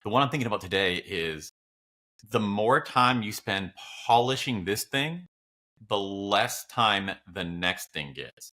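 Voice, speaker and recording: A man's voice: lowish voice, lively intonation, unhurried, sharp tone. A man co-hosting a podcast in a casual, reflective style, musing loosely as he thinks aloud. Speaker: podcast co-host.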